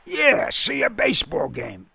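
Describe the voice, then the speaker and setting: A man's voice: on the high side, huge pitch swings, gravelly, sharp tone, breathy edge, no echo, voice pushed up, full character voice. A man voicing a blunt, suspicious working-class cab driver and baseball fan with a slangy Brooklyn accent, in a told story. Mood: brash, explaining. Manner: blunt, slangy, quick-fire.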